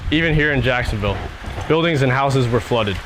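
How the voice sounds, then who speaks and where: Teen guy reading school news script, even pitch, faint noise. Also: a little fast, poor audio. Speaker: student news reporter.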